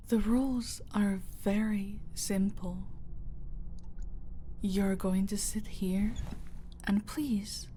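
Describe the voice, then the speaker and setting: Woman playing slow, menacing female mafia boss. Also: medium pitch, fairly even intonation, dark tone, slight background noise. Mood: playful threat. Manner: mock-sweet, taunting, drawn-out, slipping into breathy whispers. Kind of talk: roleplay audio drama, slow intimate villain monologue.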